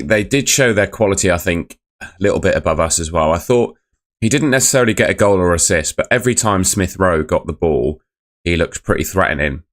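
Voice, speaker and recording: A young man hosting a casual live football review, his voice croaky from shouting the night before, chatty and rambling as he reads out chat messages. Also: low-pitched. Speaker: fan channel host.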